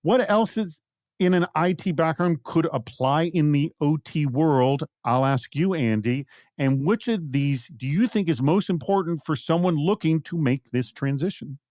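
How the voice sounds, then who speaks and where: A man's voice: fairly high pitch, a little slow, darkish tone, no echo, clean studio sound. A man hosting a panel podcast, reading out prompts and putting questions to guests. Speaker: podcast host.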